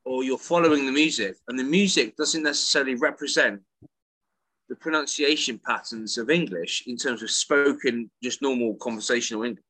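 Bright, excitable man telling classroom stories. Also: medium pitch, no echo, low-quality recording. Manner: animated storyteller, repeats words when starting sentences. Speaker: podcast co-host and English teacher. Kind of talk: casual chat. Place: works in Italy.

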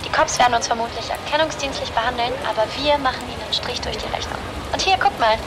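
An actress playing a cheeky young activist presenting a social-media style how-to in a chirpy, playful manner. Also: female voice, a little high, fast talker, very noisy, close to an everyday voice. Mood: upbeat.

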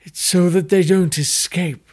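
Guy playing a snooty obsessed toff, slow drawl, lifts his voice a bit. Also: high-pitched, fairly even intonation, a little mellow, breathy edge, pitched above the performer's own voice. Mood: haughty. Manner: drawling and pompous. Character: pompous, obsessive aristocratic man craving loveliness.

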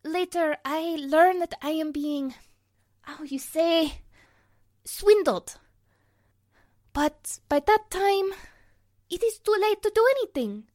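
Radio drama: imperious Spanish diva dancer, fiery and theatrical, very high voice, slow dramatic swings. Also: female voice, animated, darkish tone, full character voice. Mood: bitter, defensive. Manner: imperious, dramatic, broken English. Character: haughty, fiery foreign prima ballerina, easily offended. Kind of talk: 1940s radio drama acting.

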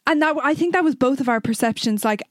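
A woman's voice: high-pitched, fast talker, no room sound, clean studio sound. A young woman in her twenties, co-hosting a podcast in casual, chatty talk that often voices her own past thoughts. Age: 20s. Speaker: podcast co-host. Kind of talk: casual chat.

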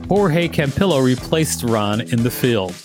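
A man's voice: mid-range voice, expressive, a little slow, over music. A man co-hosting a show, reading an article aloud in a narrator's style and breaking off for playful, mock-serious banter.

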